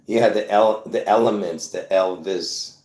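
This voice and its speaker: A man from Lebanon hosting his own video, talking excitedly to viewers in a rambling, repetitive way with bursts of laughter. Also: low voice, measured pace, roomy sound. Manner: excitable, repeats himself, laughs between points. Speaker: video host. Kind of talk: rambling casual monologue to viewers.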